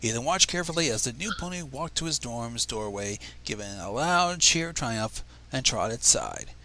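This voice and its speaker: Male narrator, sharp forceful tone, lively pitch swings, theatrical, informal livestream story reading. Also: normal speed. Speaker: fan fiction reader on a livestream.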